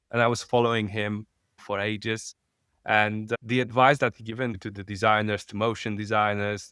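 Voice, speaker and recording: A man with a Polish accent, a non-native English speaker, talking as a podcast guest, halting and searching for words. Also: fairly low pitch, a bit bright, clean tone, no room sound, studio quality. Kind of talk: podcast interview.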